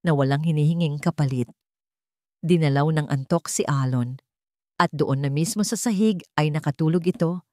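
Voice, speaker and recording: A woman's voice: deep voice, darkish tone, no room sound, clean studio sound. A woman narrating a scripted dramatic story as its storyteller.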